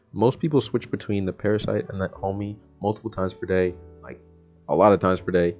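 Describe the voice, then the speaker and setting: A man's voice: low voice, fairly even intonation, fairly quick, a little mellow, highs cut off, soft background music. A young American man giving a casual self-help monologue in a laid-back, slangy, rambling way. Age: young adult. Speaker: vlogger.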